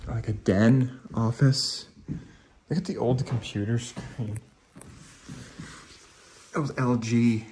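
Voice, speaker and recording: Male explorer-vlogger, medium pitch, informal and impressed, slight cold, faintly noisy handheld sound indoors. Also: fairly even intonation, takes it slowly, pauses often, darkish tone. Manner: informal, awed, mildly sweary. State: getting over a cold with a lingering cough. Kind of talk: casual walkthrough commentary. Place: inside empty rooms of a large abandoned house.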